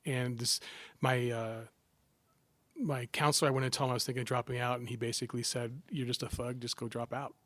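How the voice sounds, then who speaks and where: A middle-aged man from Southern California, a psychology professor telling stories as a guest in a casual interview, joking at his own expense with quick asides. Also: medium pitch, dry recording. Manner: animated, self-deprecating jokes, quick asides.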